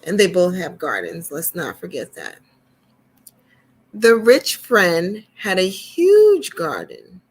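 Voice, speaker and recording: Woman reading a kids story, slightly low voice, really slow and deliberate, little chatty asides. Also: very animated, husky. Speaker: storytime host and reader.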